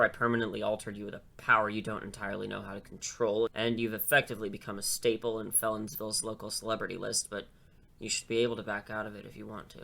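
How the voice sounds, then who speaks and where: A man playing a dry, self-assured high school English teacher in an audio drama, talking in a casual, rambling way. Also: gravelly, pushed and bright, exaggerated voice.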